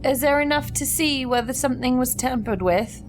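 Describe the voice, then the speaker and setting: A woman's voice: high voice, moderate pace, music in the background, low-quality recording. A woman talking casually as a player in a tabletop role-playing game.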